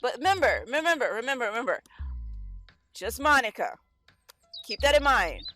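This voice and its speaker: Playful giggly girl streamer, woman w high lively voice, casual game chat, soft music behind. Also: normal speed. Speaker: streamer playing a visual novel.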